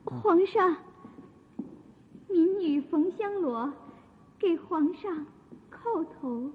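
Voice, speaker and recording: Very high-voiced, plaintive village maiden. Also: female voice, expressive, very slow, a little hiss or hum, heavily acted. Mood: timid, deferential. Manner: formal, deferential, plaintive pleading. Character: humble, distressed young village maiden resisting the emperor. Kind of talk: theatrical Chinese radio drama acting. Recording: dull audio with long hall reverb.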